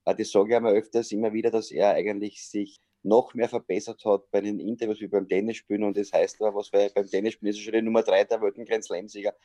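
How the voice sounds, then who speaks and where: A man's voice: fairly low pitch, level tone, quick pace, few pauses, warm tone, no echo. A middle-aged man with an Austrian accent, a sports journalist and comedian, chatting over a video call and telling stories with lots of hesitations. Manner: chatty storyteller with frequent hesitations.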